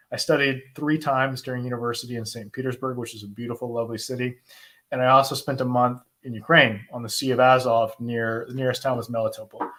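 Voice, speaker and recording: A man with an American accent answering viewer questions in an informal, conversational way, thinking aloud with pauses as he explains. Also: mid-range voice, a bit bright. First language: English. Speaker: host, asylum lawyer answering viewer questions. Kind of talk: informal live Q&A, explaining law.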